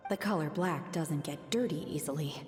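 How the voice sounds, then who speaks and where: A woman playing a reserved, stoic young female disciple in a video game, plainspoken, earnest and measured. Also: low-pitched, darkish tone, faint music behind, lightly acted. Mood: composed.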